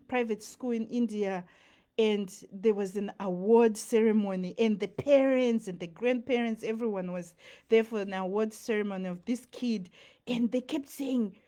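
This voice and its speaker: A woman's voice: fairly high pitch, mellow. A young woman from Zimbabwe talking casually to camera in a rambling, chatty way, full of fillers and asides. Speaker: vlogger. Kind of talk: casual talking-head monologue.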